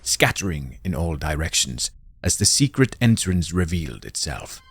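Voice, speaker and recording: A man narrating a scripted story in a deliberate, dramatic, theatrical storytelling style. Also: a bass voice, sing-song, fairly bright tone, husky.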